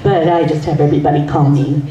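A woman's voice: low voice, steady tone, fast, highs cut off, a crowd in the background, voice pushed down, natural voice. A woman storyteller voicing a cheerful, giggly man who fumbles over his words. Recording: roomy distant mic, faint ambience.

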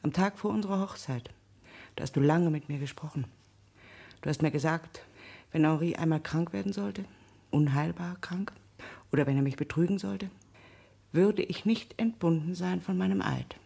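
An actress playing a middle-aged, solemn, blindly loyal wife in a radio drama, husky and often hushed. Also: female voice, fairly low pitch, normal speed, pauses often. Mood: solemn, devoted. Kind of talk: German radio drama acting.

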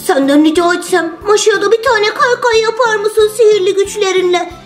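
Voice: extremely high pitch, moderate pace, soft background music. A performer voicing a friendly, placating bear in a children's toy play story, with an exclaiming manner. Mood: relieved, polite.

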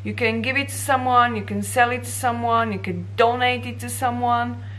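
A woman's voice: a little high, fairly even intonation, moderate pace, fairly bright tone, low-quality recording. A young woman from Slovakia with a Slovak accent, talking straight to camera in a vlog and gesturing with her hands as she explains. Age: young adult. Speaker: vlogger. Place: bright indoor office room.

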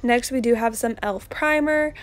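A young woman narrating a casual shopping haul, chatty and relaxed, with lots of ums and likes. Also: a little high, moderate pace. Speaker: vlogger.

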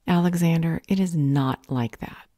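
A woman playing a firm, determined leader in an audio roleplay drama, stern and measured. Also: low voice, dark tone, no room sound, studio quality. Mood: firm, reassuring. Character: firm, determined woman leading a fight against a villain.

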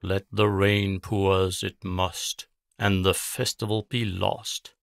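A man acts out a grumpy witch from a children's storybook. She is spiteful and scheming, speaking with theatrical menace and some gravel in a close, dry recording. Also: low-pitched, little pitch movement, dry recording, exaggerated voice. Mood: menacing chanting. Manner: theatrical, menacing, drawn-out incantations.

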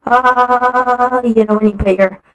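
A gaming video creator chatting casually and haltingly while demonstrating a voice changer that alters the voice with different effects. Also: medium pitch, fairly even intonation, a bit bright, soft background music, poor audio. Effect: voice changer with shifting effects.

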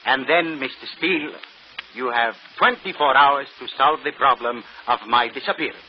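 Male actor as courteous, boastful showman magician, deliberate and grandiose, on a dull, hissy old radio broadcast. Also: mid-range voice, natural voice. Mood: grandly confident. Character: theatrical, boastful stage magician, courteous and confident. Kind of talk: old-time radio mystery acting.

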